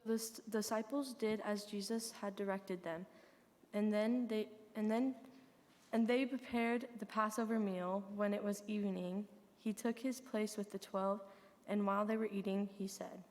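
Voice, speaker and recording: A woman's voice: medium pitch, fairly even intonation, normal speed, a little mellow. Echoey hall, woman narrator, scripted scripture reading, occasional self-corrections. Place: large church during a service.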